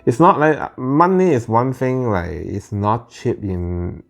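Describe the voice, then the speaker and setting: A man in his thirties with Cantonese as his first language, telling a personal parenting story in a halting way, with many restarts and fillers. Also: mid-range voice, creaky. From Northern California Bay Area. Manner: halting, frequent "like" and restarts, plain non-native phrasing. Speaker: parent vlogger. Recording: faint guitar music behind.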